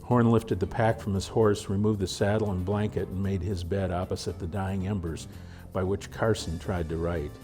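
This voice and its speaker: A man reading aloud his own frontier story as a steady narrator. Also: low voice, moderate pace, soft background music. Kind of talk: audiobook narration.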